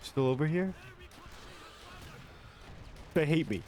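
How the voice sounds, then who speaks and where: Animated young man streaming a shooter. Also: fairly high pitch, takes it slowly, a little hiss or hum. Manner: self-mocking, swearing, laughing between shots. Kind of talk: casual gameplay commentary.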